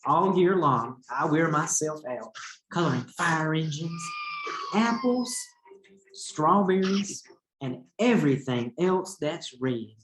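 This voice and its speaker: Indignant crayon with a grievance, played by a man reading a storybook, slow and emphatic, echoey. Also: fairly high pitch, lively intonation. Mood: indignant, worn out. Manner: emphatic, complaining. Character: overworked, indignant male red crayon airing grievances. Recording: roomy echo with background noise.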